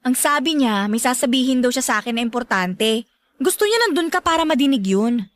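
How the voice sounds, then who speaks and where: High voiced young filipina crushing on someone, coy and sweet, radio drama. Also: female voice, animated, fairly quick, no room sound. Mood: playfully probing.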